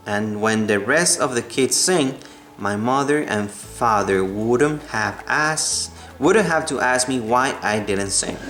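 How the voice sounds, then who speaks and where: A man's voice: medium pitch, fairly bright tone. Man reading as an earnest young schoolgirl, music behind. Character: young schoolgirl, earnest, thoughtful, proud of her name. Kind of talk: children's storybook read-aloud.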